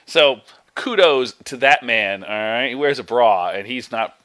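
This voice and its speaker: Podcast co-host, man, bright forceful tone, rambling and animated. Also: mid-range voice, unhurried. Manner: rambling, animated, restarts often. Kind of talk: casual wrestling chat.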